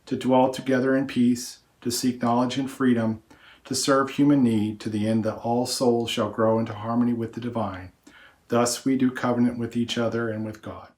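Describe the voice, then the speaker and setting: Man, worship leader, medium pitch, liturgical reading. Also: level tone, moderate pace, darkish tone, reverberant.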